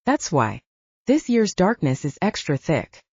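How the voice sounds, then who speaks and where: A woman's voice: fairly low pitch, big pitch swings, unhurried, warm tone, slightly rough, no echo, highs cut off. A woman narrating a first-person story as a scripted audiobook reading.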